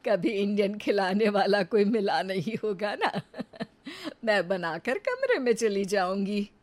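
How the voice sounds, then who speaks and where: A humble old mother-in-law from the village, voiced by a woman in a cartoon moral tale. She talks slowly and gently, with a kindly manner and a medium pitch. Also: very animated, no echo. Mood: gentle, good-humoured.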